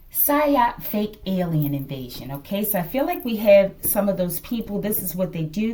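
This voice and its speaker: A woman giving an improvised tarot reading in casual talk, thinking aloud haltingly and often checking in with her listeners. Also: mid-range voice, heavy background noise. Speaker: tarot reader and channel host.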